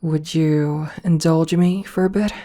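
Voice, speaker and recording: A woman playing a rich, possessive, seductive female CEO in an ASMR roleplay, softly formal and coaxing, with a politely commanding manner. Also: low-pitched, steady tone. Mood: disappointed, coaxing. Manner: slow, softly formal, coaxing with pet names.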